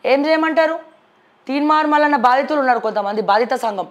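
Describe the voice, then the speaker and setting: High-pitched woman presenter with Telangana accent. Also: fast talker, lo-fi sound. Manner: blunt, questioning, addresses people directly. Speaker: news presenter. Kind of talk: conversational newspaper review and political commentary.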